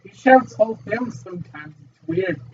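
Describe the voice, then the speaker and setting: A young man chats on a casual podcast, asking innocent questions in a high voice that leaps around a great deal. He sits back from the microphone, and the lo-fi recording carries a faint hiss. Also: normal speed. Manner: lively, naive questions, talks in a mid-range voice. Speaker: podcast co-host. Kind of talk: casual chat. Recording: distant lo-fi mic with faint hiss.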